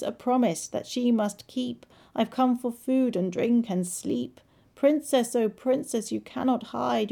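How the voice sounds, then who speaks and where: A small male frog from a storybook, croaking and pushy, who keeps making demands, as a woman puts him on. The voice is gravelly and lively, swinging up and down in pitch. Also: exaggerated voice.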